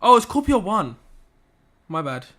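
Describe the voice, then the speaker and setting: A man's voice: high voice, sing-song, a little slow, sharp tone. A young man in casual live-stream chat, laid back and chatty, reading out chat and muttering as he works through maths questions. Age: young adult. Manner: laid-back, chatty slang, reads chat aloud and mutters while working.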